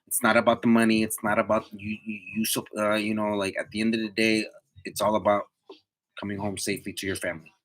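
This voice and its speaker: A man's voice: on the low side, flat delivery, quick pace, fairly bright tone, rough recording. A man in his twenties chatting casually to live viewers in a slangy, motivational way, repeating himself for emphasis. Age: 20s. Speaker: livestream host, tree climber.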